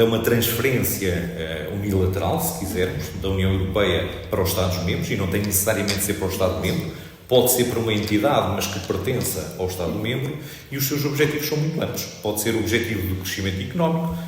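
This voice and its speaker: A middle-aged man from Trás-os-Montes in Portugal, an economics professor answering interview questions in a measured, explanatory way with frequent hesitations. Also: on the low side, slight background noise. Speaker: economics professor, podcast guest. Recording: echoey room with long reverb.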